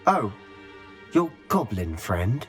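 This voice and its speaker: A man's voice: on the low side, sing-song, slow, faint music behind. A young man acting a headstrong, impatient teenage wizard in a video game, intense and sardonic. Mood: frustrated, defiant. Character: headstrong, frustrated teenage schoolboy wizard, protective brother.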